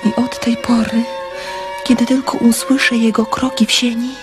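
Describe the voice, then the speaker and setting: A woman's voice: a little high, level tone, moderate pace, lightly acted. An actress playing a modest, bashful young granddaughter in a radio drama, earnest and demure as she tells her story. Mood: wistful recounting. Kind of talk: radio drama acting, first-person storytelling. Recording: music behind the voice.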